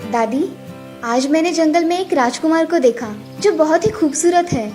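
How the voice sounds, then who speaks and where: A woman's voice: high-pitched, moderate pace, darkish tone, faint music behind, natural voice. A woman voicing an innocent, helpful young village girl in a children's cartoon story. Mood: eager.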